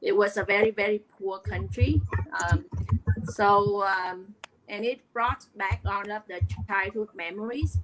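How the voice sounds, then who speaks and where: A middle-aged woman from Vietnam with a Vietnamese accent, earnestly telling her story as an interview guest, often pausing on fillers. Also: mid-range voice, background noise. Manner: earnest, hesitant with many fillers. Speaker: guest, online business coach.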